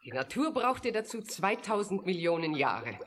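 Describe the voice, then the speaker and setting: A woman's voice: mid-range voice, sing-song, moderate pace, natural voice. An actress playing a proud female scientist in a science-fiction radio play, instructive and motherly toward her creation. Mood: proud, matter-of-fact. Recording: noisy vintage radio drama recording.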